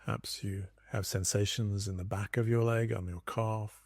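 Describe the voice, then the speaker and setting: A man leading a guided body scan meditation as a teacher, speaking in calm, gentle instructions with long pauses. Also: fairly low pitch, dry recording, studio quality.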